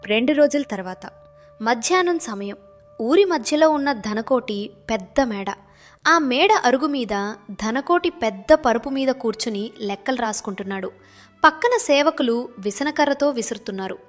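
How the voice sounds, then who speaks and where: A woman narrates a scripted moral tale in a dramatic storytelling style. Her voice sits fairly high, and light music plays behind it. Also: animated, moderate pace.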